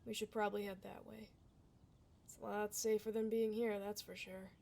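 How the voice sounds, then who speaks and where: A woman's voice: mid-range voice, little pitch movement, moderate pace, vocal fry, warm tone. A woman playing a blunt, kind tomboy dullahan adventurer in a casual, reassuring fantasy ASMR roleplay. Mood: casual, frank. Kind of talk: ASMR fantasy roleplay, close conversational acting.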